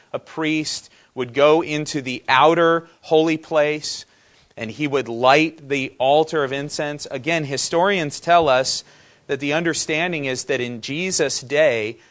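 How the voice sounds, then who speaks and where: A man's voice: mid-range voice, fairly bright tone. A man from preaching a sermon, often putting questions to the congregation. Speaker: pastor.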